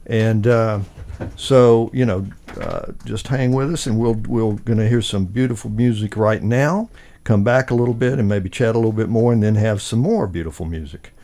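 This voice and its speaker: A man's voice: on the low side, normal speed, few pauses, dark tone. A man hosting a local talk show, easygoing and affirming, often repeating his words. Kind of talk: casual interview hosting.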